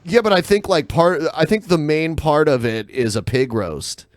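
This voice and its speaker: Exasperated man with a lively, high-ish voice. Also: moderate pace, no echo, clean studio sound. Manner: exasperated, dismissive, swears. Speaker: podcast co-host. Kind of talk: casual podcast chat.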